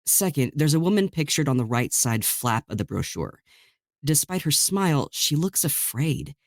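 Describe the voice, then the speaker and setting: A man performs as a serious male podcaster in a horror audio drama. He recounts unsettling details in a deliberate, grave way, with a mid-pitched voice in a very dry recording. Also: no room sound.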